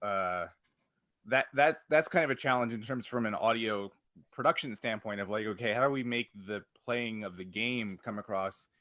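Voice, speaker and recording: A man's voice: lowish voice, moderate pace, a bit bright, no echo, clean studio sound. A man co-hosting a podcast, explaining things in a casual, conversational way. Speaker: podcast host.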